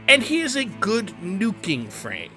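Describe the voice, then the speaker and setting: Man, lightly high-pitched voice, very lively intonation, conversational game tutorial commentary, explanatory and emphatic, music behind. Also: measured pace. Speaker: game guide host. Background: background music.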